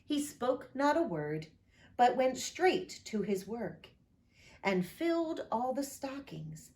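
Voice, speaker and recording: Slow, expressive woman pastor reading aloud. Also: a little high. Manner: expressive, lilting read-aloud delivery; friendly direct address to listeners.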